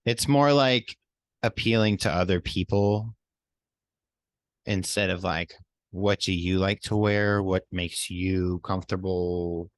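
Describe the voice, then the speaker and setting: A man co-hosting a podcast in casual chat, supportive and quick to affirm with short interjections. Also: deep voice. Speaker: podcast co-host.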